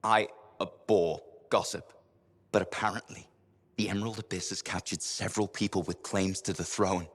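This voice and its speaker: A man's voice: sits low, steady tone, normal speed. A man voicing a fussy, pompous male noble who loves gossip, in a video game cutscene.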